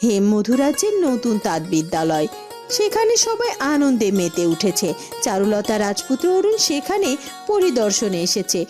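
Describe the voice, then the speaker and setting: A woman's voice: mid-range voice, sing-song, moderate pace, a little mellow, faint music behind. A woman giving scripted storybook narration of scenes in a fairy-tale cartoon.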